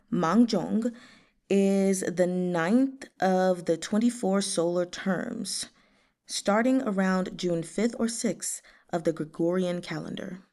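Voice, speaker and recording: A woman's voice: fairly low pitch, darkish tone. A woman reading a novel aloud in an audiobook style, as the host of a read-along. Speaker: reader hosting a book read-along. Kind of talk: audiobook-style narration with casual asides.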